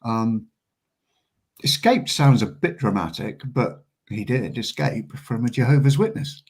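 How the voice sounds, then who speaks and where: A man's voice: medium pitch, lively intonation, unhurried, husky. A man being interviewed as a guest, a celebrant speaking earnestly and hesitantly, with many ums.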